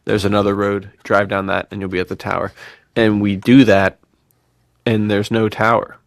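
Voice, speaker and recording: A low-pitched American man with plenty of vocal fry co-hosts a casual podcast. He talks in a slangy, bro-ish way, telling stories. The sound is dry and close, with no room on it. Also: level tone, moderate pace, no echo. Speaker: podcast co-host.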